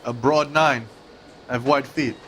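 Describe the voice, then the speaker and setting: A man's voice: mid-range voice, fairly even intonation, measured pace, fairly bright tone, slight background noise, close to an everyday voice. An actor playing a world-weary male bartender in a 1940s detective video game, talking in a casual, slangy way. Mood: frank, wry. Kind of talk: scripted dramatic game acting.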